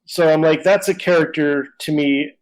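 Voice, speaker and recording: A man's voice: a little high, fairly even intonation, moderate pace, poor audio. Casual, rambling male podcast co-host. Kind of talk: casual conversational discussion.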